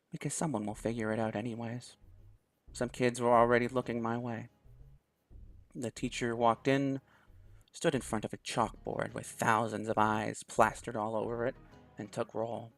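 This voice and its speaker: A man's voice: on the low side, level tone, moderate pace, gravelly, soft background music. A young man hosting a let's play, chatting casually and reading a visual novel's story text aloud. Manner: chatty, laughs easily, thinks aloud.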